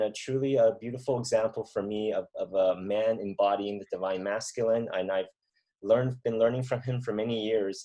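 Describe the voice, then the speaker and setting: A man in his thirties from mainland China hosting a reflective conversation, talking in an earnest, unhurried way and thinking aloud with fillers and restarts. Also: mid-range voice, a little mellow. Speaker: podcast host.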